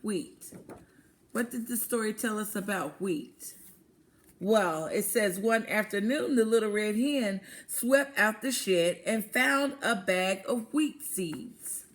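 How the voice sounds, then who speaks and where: Lady teacher doing a read-aloud for little kids, medium pitch, really unhurried, asks questions and repeats stuff. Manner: asks questions, pauses, then affirms answers; repeats and explains words simply. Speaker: teacher reading aloud to young children. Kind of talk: interactive picture-book read-aloud lesson.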